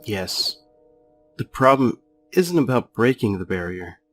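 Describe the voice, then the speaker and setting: Slow-talking, weary young monster prince played by a man. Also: on the low side, very animated, a bit bright, soft background music. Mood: bitter, resigned. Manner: weary, sarcastic. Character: jaded young male goat-monster prince, cynical, self-doubting. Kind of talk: fan comic dub acting.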